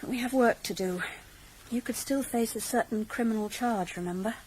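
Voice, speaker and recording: A woman playing a teasing, brisk young amateur sleuth in a radio crime drama. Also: a little high, darkish tone, slight background noise, natural voice, low-quality recording.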